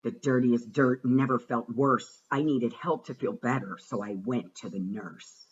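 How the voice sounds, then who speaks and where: A man reading a rhyming children's storybook aloud with a rhythmic, expressive and deliberate delivery. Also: mid-range voice, lo-fi sound, a little hiss or hum. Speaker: reader of a children's picture book.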